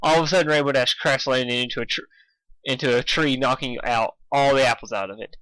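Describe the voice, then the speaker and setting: A man's voice: medium pitch, fairly even intonation, a little fast, a bit bright, clear, resonant tone, dry recording, poor audio. A man reading fan fiction aloud as informal storybook narration, sometimes stumbling and rereading a phrase.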